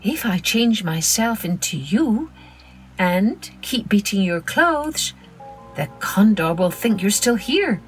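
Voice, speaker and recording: A woman voicing a small, kindly magical frog with a gentle, earnest manner in a children's story. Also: medium pitch, big pitch swings, slow pace, soft background music. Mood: eager, urgent.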